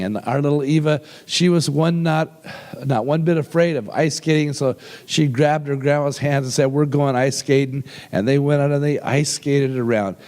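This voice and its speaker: Older pastor with a cold, echoing hall. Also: male voice, medium pitch, a little mellow. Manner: folksy, humorous, slows down when reading scripture. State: has a cold, deep rough voice. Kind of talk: sermon. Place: church sanctuary with long reverb. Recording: reverberant hall sound.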